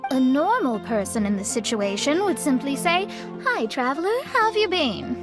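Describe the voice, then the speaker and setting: A proud young astrologer girl from an anime game. Her high voice moves in lively swings, dry and exasperated, a straight-talker with music playing behind. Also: normal speed. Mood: scolding.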